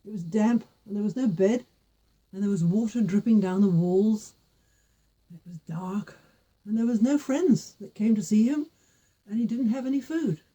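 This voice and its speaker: A woman's voice: mid-range voice, normal speed, mellow, breathy edge, low-quality recording. A woman telling a folk tale informally, with repetition and little spoken asides. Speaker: storyteller with puppet figures.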